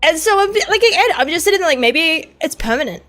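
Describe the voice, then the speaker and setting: A woman's voice: very high voice, very animated, fast talker, fairly bright tone, soft background music. A woman streamer with a sore throat, chatting casually in a rambling way, swearing and laughing.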